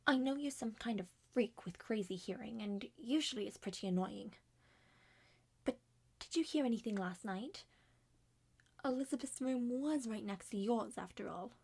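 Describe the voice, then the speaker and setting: A woman voicing many characters in an ASMR roleplay, speaking softly and often dropping to a whisper. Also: on the high side, normal speed, gravelly, slightly rough. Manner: soft-spoken, drifts between whispers and normal voice.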